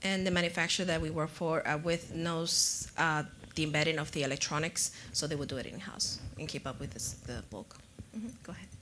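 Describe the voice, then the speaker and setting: Low-voiced woman presenting in a conference room. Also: a little fast, fairly bright tone, a little hiss or hum. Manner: explanatory, with fillers. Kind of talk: answering panel questions.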